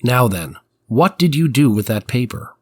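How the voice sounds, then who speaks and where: Animated, probing prosecutor played by a man. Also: mid-range voice, moderate pace, breathy edge, no echo. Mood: probing, firm. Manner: formal, probing, clipped questions. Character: methodical, firm male prosecuting attorney examining a witness. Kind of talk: courtroom transcript reenactment.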